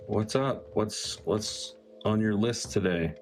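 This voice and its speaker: Low-voiced middle-aged man playing a game. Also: takes it slowly. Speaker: tabletop game player. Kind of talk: casual in-game chat. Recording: music behind the voice.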